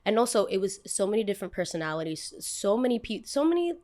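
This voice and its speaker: A young woman with a New Jersey accent hosting a podcast, telling stories in an animated, emphatic way with quick asides. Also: medium pitch. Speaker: podcast host. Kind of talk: casual chat.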